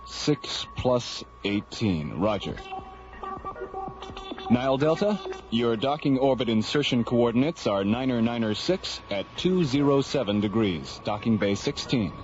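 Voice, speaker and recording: Casting: male actor as station controller for sci-fi radio play, mid-pitched, businesslike but affable, music behind, muffled recording. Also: expressive, measured pace, natural voice. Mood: efficient. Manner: businesslike yet friendly. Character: affable, efficient space station traffic controller. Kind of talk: radio drama acting. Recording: dull old radio drama, music behind.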